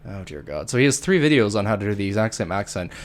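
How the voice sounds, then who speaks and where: A man co-hosting a casual podcast, mocking his subject with a deadpan delivery. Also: lowish voice, quick pace. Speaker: podcast co-host. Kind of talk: casual chat.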